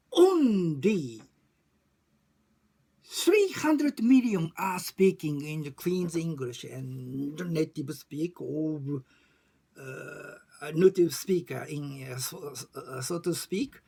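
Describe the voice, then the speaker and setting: A woman with a Japanese accent speaking non-native English in a halting, emphatic way, repeating phrases as in an informal personal talk. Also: on the low side, creaky, slightly rough. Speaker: discussion group chair and facilitator. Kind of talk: informal monologue about personal experience.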